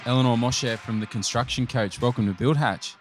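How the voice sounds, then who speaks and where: A man's voice: mid-range voice, steady tone, a little fast, music in the background. An Australian man hosting an interview podcast. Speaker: podcast host.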